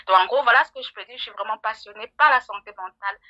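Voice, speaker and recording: A woman's voice: on the high side, racing, bright, forceful tone, no room sound. A middle-aged woman, explaining things conversationally as a psychologist guest in an interview. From Cameroon.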